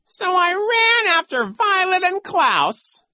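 A woman voicing a sly, villainous male gym coach in a storybook, smugly cruel, telling things in a drawn-out theatrical way with false regret. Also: very high voice, very animated, sharp tone, no room sound, voice pushed up, full character voice. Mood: dramatic, aggrieved.

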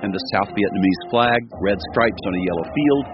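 A man reporting for radio news, telling the story in a measured, scripted narration. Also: muffled recording, music in the background. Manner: measured storytelling delivery with lively pitch.

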